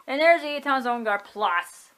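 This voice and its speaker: A woman's voice: sits high, expressive, moderate pace, a bit bright. A woman casually showing off her collection at home, chatty and offhand, often correcting herself and shrugging things off. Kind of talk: casual home video tour. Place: room at home.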